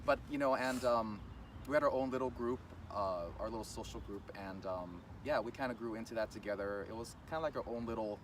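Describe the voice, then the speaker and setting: Relaxed man talking outdoors in traffic. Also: mid-range voice, brisk. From Los Angeles. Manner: relaxed, rambling with many fillers. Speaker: guest. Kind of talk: casual interview chat. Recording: distant mic, loud traffic outdoors.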